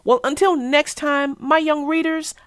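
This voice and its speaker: A woman's voice: sits high, steady tone, a little slow, a bit bright, dry recording, clean studio sound. A woman hosting a children's read-aloud show, chatting casually in a folksy way. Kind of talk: casual chat about a picture book.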